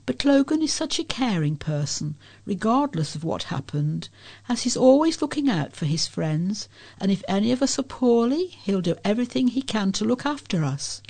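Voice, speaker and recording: A woman's voice: medium pitch, big pitch swings, moderate pace, a little mellow. A woman reading a scripted local news story as a volunteer newsreader.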